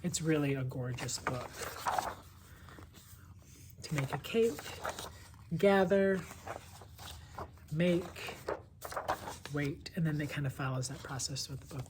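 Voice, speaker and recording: A woman with a deep-set voice talks casually to viewers as a book reviewer. Her delivery is conversational and full of enthusiasm, gushing freely. The recording carries a noticeable layer of background noise. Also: slow pace, long pauses.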